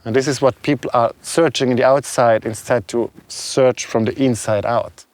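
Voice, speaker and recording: A man with a continental European accent, a non-native English speaker, talking reflectively and haltingly as an interview guest. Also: medium pitch, fairly even intonation, no echo. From Serbia, moved to Germany as a child. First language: not English. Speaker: guest, shaman and spiritual healer. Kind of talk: conversational interview talk.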